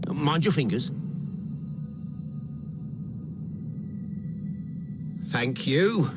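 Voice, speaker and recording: A man playing a jolly, eccentric British gentleman guide in a video game, with a quaint, theatrical way of talking. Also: a little high, animated, unhurried. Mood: brisk, cheerful. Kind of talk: video game cutscene acting. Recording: loud music behind the voice.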